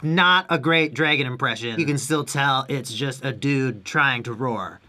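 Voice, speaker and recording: A man's voice: mid-range voice, a little slow, sharp tone. A young man with a head cold, chatting in an upbeat way as he explains his sound design in a home music studio. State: congested with a cold. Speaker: music producer and YouTuber. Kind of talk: casual tutorial vlog.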